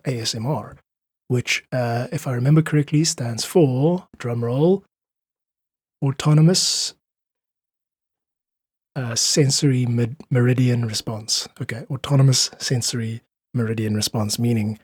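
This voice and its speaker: Man with a husky voice. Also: medium pitch, darkish tone, dry recording. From South Africa. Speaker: podcast guest, freelance musician. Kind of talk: casual podcast conversation.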